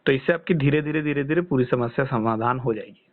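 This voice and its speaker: A man's voice: medium pitch, fairly quick. A man giving reassuring health guidance as a coach over a phone call, explaining step by step and often checking with short tag questions. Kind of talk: phone consultation, explaining and advising. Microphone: phone line. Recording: tinny band-limited phone call.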